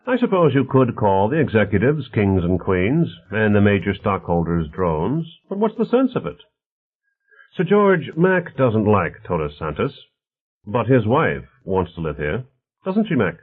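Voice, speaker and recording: Through a thin phone-like band comes a genial male executive, acted by a man reading a novel. He is assured and expansive, his pitch lifted and swooping as he plays the host of a futuristic city. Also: big pitch swings, measured pace, breathy edge, full character voice. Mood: animated, teasing.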